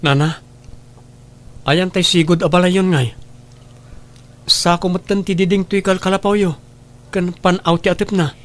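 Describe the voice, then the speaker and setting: Man acting a high-pitched, earnest grown son. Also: fairly even intonation, a little slow, long pauses, fairly bright tone. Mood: earnest, reassuring. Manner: earnest, pleading. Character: earnest, distressed adult son, kind and helpful. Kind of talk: Ilocano audio drama acting.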